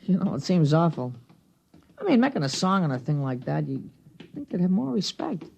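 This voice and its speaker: A man's voice: on the high side, very animated, normal speed, gravelly, darkish tone, exaggerated voice. An actor playing an earnest, disapproving small-town boy who narrates in a 1950s radio drama. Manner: earnest, plain-spoken. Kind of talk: 1950s radio acting, first-person narration and dialogue. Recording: restored vintage radio recording.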